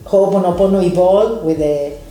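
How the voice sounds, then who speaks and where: A woman hosting a casual chat with guests, leading the questions in a warm, playful way. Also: lowish voice, moderate pace. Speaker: seminar leader hosting a chat. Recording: very echoey room, faint music.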